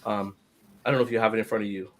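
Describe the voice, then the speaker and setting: A man's voice: on the low side, fairly even intonation, very fast. A man from Guyana hosting a podcast over a video call, upbeat and chuckling between turns. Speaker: podcast host. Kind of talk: casual group chat.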